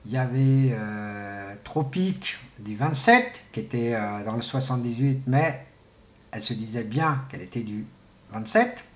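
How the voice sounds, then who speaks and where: A middle-aged man giving an informal, unscripted explanation in a halting, colloquial way, repeating himself for emphasis, as an amateur radio hobbyist. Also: medium pitch, animated. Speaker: amateur CB radio enthusiast presenting. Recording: echoey, roomy sound.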